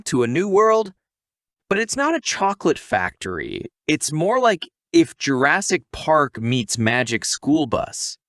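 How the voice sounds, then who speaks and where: A man's voice: mid-range voice, a bit bright, no echo, studio quality. A man narrating a humorous scripted story recap in a playful, theatrical way, addressing listeners directly.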